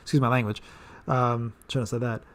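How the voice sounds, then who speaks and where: A man's voice: mid-range voice, flat delivery, fairly quick, fairly bright tone. A man in his thirties hosting a casual live-stream Q&A, rambling with repeated words and fillers. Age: 30s. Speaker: gaming channel host.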